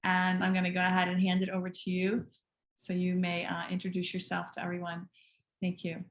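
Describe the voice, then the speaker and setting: A woman's voice: lowish voice, completely flat delivery, fairly quick, roomy sound. A woman host introducing the last keynote speaker of the week with enthusiasm. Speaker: event host.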